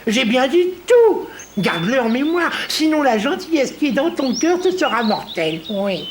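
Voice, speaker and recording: A woman's voice: high-pitched, very animated, moderate pace, noisy background. A woman playing a bossy, protective friend of a princess in a dubbed cartoon, blunt and emphatic. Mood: stern warning.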